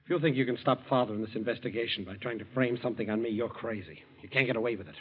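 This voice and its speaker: Cornered young son played by a male actor, husky medium voice, agitated and defensive, dull vintage radio recording. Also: mid-range voice, racing, close to an everyday voice. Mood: defiant. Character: defensive, frightened young man, prosecutor's son. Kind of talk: old-time radio drama acting.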